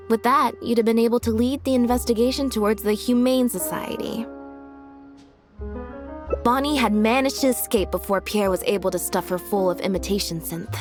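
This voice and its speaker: A woman playing a calm, deductive young detective in a video game, speaking in measured, articulate and deliberate exposition. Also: fairly high pitch, close to an everyday voice. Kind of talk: scripted video game dialogue. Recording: music behind the voice.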